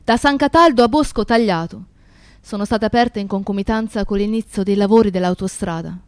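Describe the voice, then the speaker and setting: A woman's voice: mid-range voice, fairly quick, dry recording, lightly acted. A woman playing a comrade who bears witness in a radio drama, in a declamatory, incantatory storytelling manner. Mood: accusing. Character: woman comrade bearing witness, tender yet accusing storyteller. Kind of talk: dramatic radio theatre narration.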